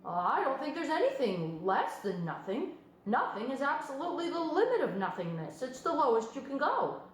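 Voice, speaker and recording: A woman voicing a lonely, earnest young male pig in a storybook, eager and childlike. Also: fairly high pitch. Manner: eager, pleading. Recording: very echoey room with faint noise.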